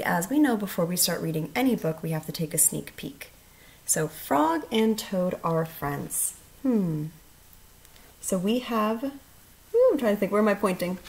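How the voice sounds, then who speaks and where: A woman speaking as a first grade teacher in a children's read-aloud, expressive and putting questions to the listener. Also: medium pitch, creaky, rough recording.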